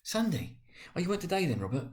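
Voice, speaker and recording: Man doing careworn gentle mum in a story, loving, halting, pitch goes up and down loads. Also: big pitch swings. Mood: warm, attentive. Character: Mrs Cratchit, gentle, careworn, loving mother. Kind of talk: dramatic storybook reading.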